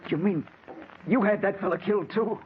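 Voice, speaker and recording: A man's voice: high-pitched, normal speed, narrow, tinny audio, close to an everyday voice. An actor playing a troubled, earnest hardboiled investigator in an old-time radio noir, brooding and clipped in his delivery. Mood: agitated. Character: troubled, lovestruck hardboiled investigator narrating his own case. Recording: very noisy, band-limited old radio recording.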